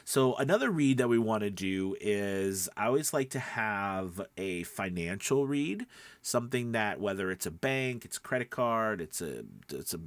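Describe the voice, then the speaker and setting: A man's voice: on the low side, no room sound. A man with a stuffed-up nose giving an informal, conversational lesson as a voice-over coach, speaking emphatically and often checking in with the listener. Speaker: voice-over coach and podcast host. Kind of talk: informal instructional talk to camera.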